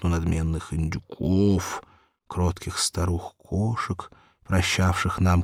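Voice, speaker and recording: A man reads a children's literary audiobook with theatrical, measured delivery. His voice is low and husky, with lively swings of pitch, recorded very dry. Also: dry recording. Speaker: narrator.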